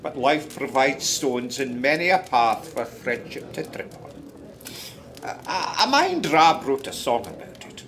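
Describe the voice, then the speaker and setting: A Scottish storyteller in a radio drama. He takes his time, with a lively, swooping delivery. He is wistful and a little gossipy, drifting into hesitant, confiding asides. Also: medium pitch, unhurried. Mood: rueful, musing. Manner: musing, conversational, hesitant asides. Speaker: narrator.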